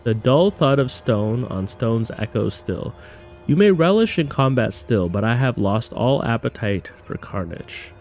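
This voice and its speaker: A man's voice: medium pitch, animated, unhurried, a little mellow, faint music behind. A man voicing a proud war general in formal, theatrical readings of letters from a game. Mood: solemn, weary.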